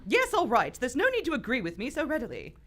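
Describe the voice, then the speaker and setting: A woman's voice: high voice, wildly swooping pitch, fast, fairly bright tone, full character voice. A woman playing a witty, defiant aristocratic adventuress in her thirties, sardonic and theatrical, in live radio theater. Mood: wry, concerned.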